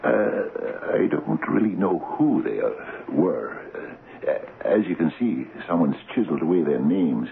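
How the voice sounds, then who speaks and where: An actor playing a chatty Scottish village doctor in a radio drama, with a hesitant, folksy storytelling manner. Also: male voice, low-pitched, very animated, measured pace, vocal fry, full character voice. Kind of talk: old radio drama acting. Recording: muffled, hissy old radio broadcast.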